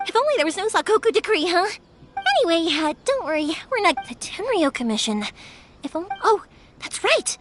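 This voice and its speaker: Upbeat game heroine: very high, animated, game music underneath. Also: female voice, brisk. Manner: bubbly and energetic. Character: cheerful young female fireworks maker, upbeat. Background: game soundtrack.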